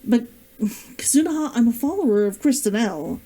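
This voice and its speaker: Woman reading as a guarded, animated male adventurer. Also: on the high side, normal speed. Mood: earnest, insistent. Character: guarded, gruff male adventurer in a fantasy novel.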